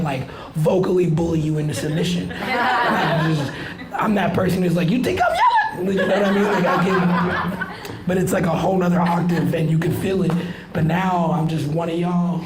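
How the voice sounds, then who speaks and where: Man, raspy hoarse voice, high-pitched, rambling stand-up, echoey club with crowd laughing. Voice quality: raspy, voice worn from yelling. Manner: rambling, loose delivery with frequent fillers. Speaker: stand-up comedian. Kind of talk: stand-up set. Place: comedy club stage.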